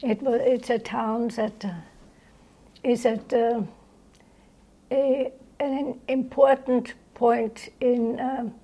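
Very slow, husky elderly woman. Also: fairly high pitch, level tone, dark tone, low-quality recording. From Austria. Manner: halting, searching for words. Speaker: interviewee recounting life history. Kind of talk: unscripted reminiscence. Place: indoors in own home.

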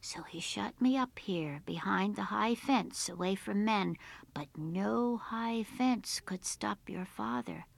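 A woman voicing a thoughtful mother in a folk tale, measured and confiding. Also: mid-range voice, creaky, no room sound. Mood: wistful confiding. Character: thoughtful mother recalling a secret past.

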